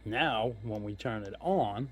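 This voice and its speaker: A man's voice: on the low side, takes it slowly, husky. A man giving a casual hands-on product demo, explaining step by step in an informal, folksy way. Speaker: host of a radio gear review channel.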